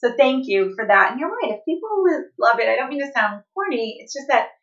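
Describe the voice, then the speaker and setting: A woman's voice: sits high, very animated, brisk, a bit bright. A woman co-hosting a podcast, encouraging her guest and asking long, layered questions. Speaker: podcast co-host. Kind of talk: interview hosting. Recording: dull lo-fi podcast sound.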